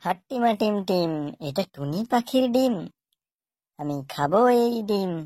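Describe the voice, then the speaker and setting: A woman's voice: mid-range voice, animated, measured pace, mellow, soft background music. A woman voicing a mischievous, greedy fox with a sing-song manner in a children's cartoon. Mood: gleeful, greedy. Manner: sing-song, chanting nursery rhymes. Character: mischievous egg-stealing fox, gleeful, greedy rhymer. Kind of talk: children's cartoon character acting.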